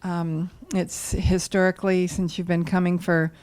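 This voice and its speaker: A woman's voice: lowish voice, level tone, measured pace, darkish tone, smooth. A woman from the Seattle area, a volunteer practitioner, speaking with enthusiasm and frequent ums. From Seattle area, United States. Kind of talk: casual personal testimony.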